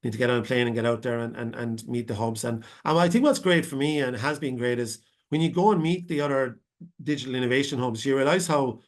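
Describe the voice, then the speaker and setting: A man's voice: medium pitch, fast. An Irish man giving a long unscripted answer as a panelist on a video call, with many fillers and restarts. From Ireland. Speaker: webinar panelist.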